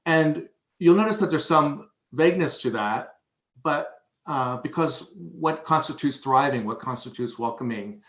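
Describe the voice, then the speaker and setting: A man's voice: fairly high pitch, animated, a little slow, a bit bright. A man giving a prepared presentation as a board vice chair at a public meeting. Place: indoor room on a video conference call. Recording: roomy echo on voice.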